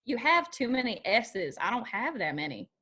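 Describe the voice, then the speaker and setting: An actress playing a feisty young honky-tonk manager in a screenplay table read, with dry, quick-witted retorts. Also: female voice, fairly high pitch, sing-song, pushed and bright, heavily acted.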